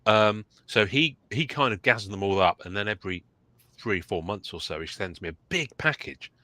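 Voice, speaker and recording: A man's voice: fairly low pitch, expressive, normal speed, bright, forceful tone, dry recording. An English man co-hosting a live podcast, chatty and joking, a little throaty from a cold. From England. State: getting over a cold, throaty. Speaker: podcast co-host. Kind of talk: casual live-stream chat.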